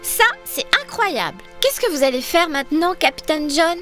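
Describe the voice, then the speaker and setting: Very high, lively cartoon girl. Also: normal speed, soft background music. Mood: delighted. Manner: animated, explanatory, eager. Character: plucky, adventurous young girl explorer, cheerful leader. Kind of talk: children's cartoon acting.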